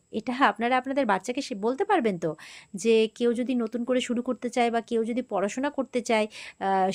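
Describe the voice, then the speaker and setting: A woman's voice: sits high, natural voice. A woman storyteller voicing a self-respecting young mother in a serial recap, earnest and firm as she reasons. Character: calm, self-respecting young mother defending her schooling.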